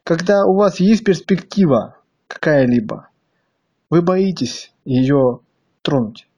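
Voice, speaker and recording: A man's voice: a little high, animated, takes it slowly, mellow, husky, dull audio. A man with a slightly stuffy nose delivering a blunt, crude monologue to his viewers, hammering them with rhetorical questions. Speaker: video blogger.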